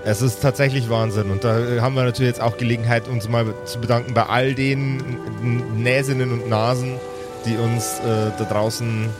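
A man co-hosting a podcast, chatting casually and haltingly as he addresses and thanks his audience. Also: mid-range voice, flat delivery. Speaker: podcast co-host. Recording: loud music bed, rain-like sound. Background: loud music.

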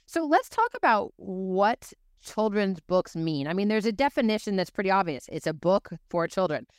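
A woman's voice: mid-range voice, sing-song, normal speed, smooth, no room sound, studio quality. An American woman hosting an interview show in an upbeat, conversational way. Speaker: host.

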